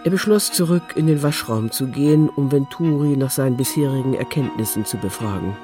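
A man narrating a scripted audio drama in a measured, sober manner. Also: medium pitch. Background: faint music.

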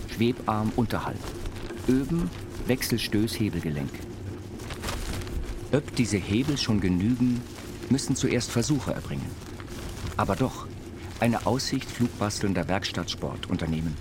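A man playing an earnest, dreamy inventor confined to an institution, reading his writings in a deliberate, formal way. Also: fairly low pitch, animated, noisy background. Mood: absorbed, technical. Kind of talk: scripted literary reading over music. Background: music.

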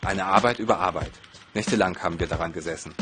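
A man with a lowish voice narrates a scripted personal story. He moves along at a quick pace, with music clearly playing behind him. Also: fairly bright tone.

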